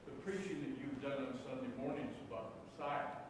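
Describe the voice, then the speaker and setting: A male churchgoer makes an earnest, argumentative point during Bible study. He is heard from a distance, with lots of church reverb and clear room noise. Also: medium pitch, brisk. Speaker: congregation member.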